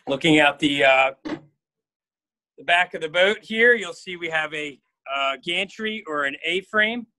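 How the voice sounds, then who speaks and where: A man in his forties guiding a live walking tour of a research boat and dock, talking casually with many pauses and fillers, filmed on a handheld phone. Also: fairly high pitch, expressive, sharp tone, no echo. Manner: casual, hesitant with frequent uh fillers. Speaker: marine field station staff guide. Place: aboard a workboat and on a dock outdoors. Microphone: phone camera held at arm's length.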